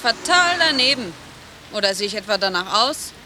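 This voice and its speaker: A woman's voice: mid-range voice, very animated, normal speed, bright, forceful tone. An actress playing a brash, volatile female hitchhiker in a German radio drama, abrasive and theatrical, swinging between mockery and pleading. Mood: hostile, defiant. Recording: faint noise, early-1980s radio drama tape.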